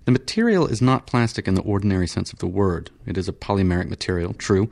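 An unflappable surgeon explains things in a flat, measured, formal way, infinitely patient, as a male narrator gives him voice. The voice sits on the low side for a man, with little show of feeling, in an audiobook reading. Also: vocal fry.

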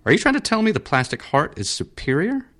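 A petulant senator pushing sixty snaps his questions in a raised, strained voice that is pitched well above a plain speaking voice, as a male narrator puts him on. He is restless and demanding, and his voice rises and falls sharply in an audiobook reading. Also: very animated, brisk, fairly bright tone. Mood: peevish. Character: peevish, irritable male senator in his fifties.

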